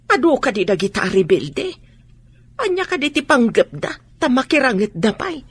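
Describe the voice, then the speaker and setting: Husky, worried mother. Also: a little high, very animated, normal speed. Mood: alarmed. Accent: Ilocano. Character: worried, protective middle-aged mother. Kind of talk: Ilocano radio drama acting.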